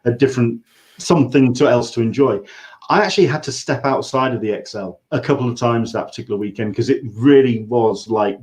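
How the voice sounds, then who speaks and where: Male host, English accent, mid-range voice, casual conversational interviewing with a halting, think-aloud delivery. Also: mid-range voice, a little mellow. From England.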